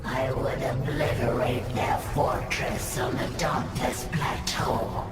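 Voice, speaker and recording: A woman voicing a grave, loyal alien brood creature in a video game cutscene, formal and deliberate, with an echoing creature effect. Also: extremely low pitch, fairly even intonation. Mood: grave warning. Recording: heavy echo, distant, loud music.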